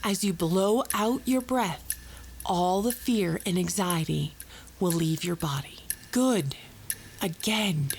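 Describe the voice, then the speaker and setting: A woman playing a calm, controlled psychologist who guides a patient in a measured, reassuring way in an audio drama. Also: fairly low pitch, vocal fry, slight background noise. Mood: soothing. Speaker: actor in an audio fiction podcast. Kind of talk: audio drama, soothing clinical guidance. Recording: loud music under the voice.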